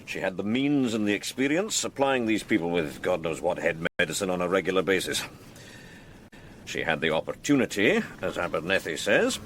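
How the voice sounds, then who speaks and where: An actor playing a wry, deliberate senior police detective, a man questioning his team in a radio drama. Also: low voice, lively intonation, fairly bright tone, a little hiss or hum. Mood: musing, sceptical. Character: wry senior detective leading a case briefing.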